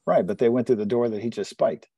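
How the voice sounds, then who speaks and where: Guy at a tabletop game, gravelly, mellow, casual player chat. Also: mid-range voice, fast talker, no room sound, studio quality.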